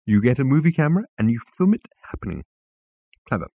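A man's voice: medium pitch, big pitch swings, fast talker, creaky, husky, no echo, narrow, tinny audio, pitched above the performer's own voice, heavily acted. A man voicing an easygoing, tipsy male alien traveller who rambles on. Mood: rambling. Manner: chatty, rambling.

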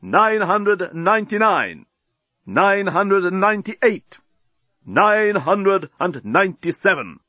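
A man voicing a talking bomb in an audiobook, announcing slowly and grandly like a proud corporate machine. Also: high-pitched, big pitch swings, pushed and bright, dry recording, dull audio, pitched above the performer's own voice, full character voice. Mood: proud, booming. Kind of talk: storybook audiobook character voice.

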